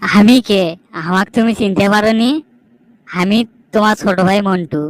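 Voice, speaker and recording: A woman's voice: medium pitch, moderate pace, no echo, lightly acted. A proud younger brother from a village cartoon, played by a woman, speaks in a dry, close recording. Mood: indignant. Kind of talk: amateur cartoon dialogue.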